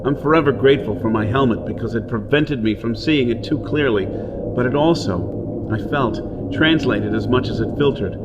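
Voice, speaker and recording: A man's voice: mid-range voice, normal speed, dull audio, very noisy, natural voice. An actor playing a desperate outlaw hero on Mars, telling his ordeal in intense, theatrical first-person narration. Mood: dread, awe. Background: dramatic music and soundscape.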